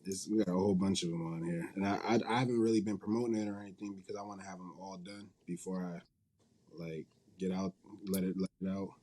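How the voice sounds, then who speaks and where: A man's voice: deep voice, fairly even intonation, quick pace, mellow, poor audio. A man being interviewed in a casual, informal and rambling way, often correcting himself as he goes. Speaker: interview guest, manga company head and creator.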